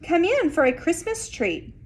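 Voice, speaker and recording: A woman's voice: extremely high pitch, sing-song, measured pace, voice pushed up, exaggerated voice. A woman voicing a cheerful, bustling neighbor lady in a children's storybook, with emphatic sing-song hospitality. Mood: cheery, inviting. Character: cheerful, bustling neighbor lady pushing her holiday baking.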